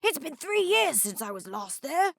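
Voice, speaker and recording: A woman's voice: sits high, very animated, normal speed, creaky, fairly bright tone, no room sound, clean studio sound, voice pushed up, exaggerated voice. A woman voicing a male hydrocopter, a drowsy, easily startled vehicle character in a children's storybook. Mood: sleepy.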